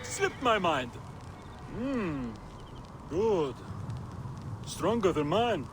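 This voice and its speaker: An actor playing a wily, evasive and jovial desert merchant in a video game, with a theatrical, teasing way of bargaining. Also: male voice, sits high, sing-song, very slow, background noise, heavily acted. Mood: jovial, cajoling. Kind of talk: video game cutscene acting.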